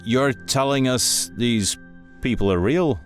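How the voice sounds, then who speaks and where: A man voicing a conversational male talk show interviewer in a horror audio story, expressive in his delivery. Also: mid-range voice, takes it slowly, soft background music, voice pushed up, lightly acted. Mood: uneasy disbelief. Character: male talk show host, chatty, growing nervous and disbelieving.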